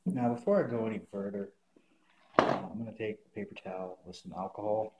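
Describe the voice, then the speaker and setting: A man's voice: lowish voice, steady tone, fairly quick. A man talking casually and matter-of-factly through a hands-on craft review at a table indoors. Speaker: prop maker reviewing and modifying a costume mask. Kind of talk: casual unboxing and tutorial talk to camera. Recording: clear background noise, handling clicks.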